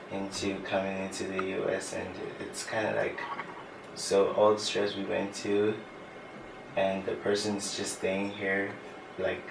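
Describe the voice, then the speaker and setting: A man's voice: sits low, gravelly. A man being interviewed, giving his opinion hesitantly with many fillers. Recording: distant mic, echoey room, background noise.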